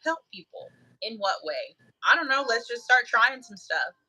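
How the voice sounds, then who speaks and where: Bright, laughing woman co-host. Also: on the high side, big pitch swings, normal speed, low-quality recording. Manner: laughs often, acts out her own past remarks. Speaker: co-host, mental health worker. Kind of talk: casual conversation.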